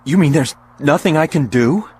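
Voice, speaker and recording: A man's voice: fairly high pitch, animated, normal speed, a little mellow, slight background noise, lightly acted. An actor playing a fed-up, sardonic, volatile father in a radio drama. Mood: bitter defiance. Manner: sarcastic, volatile. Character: fed-up, sardonic father rebelling against his machine.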